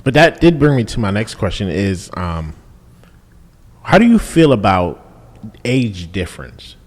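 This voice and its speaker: Man, podcast co-host, rambling, repeats himself, fairly low voice, reverberant room. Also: measured pace. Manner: rambling, repeats words, fillers, animated when asking questions. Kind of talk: casual chat.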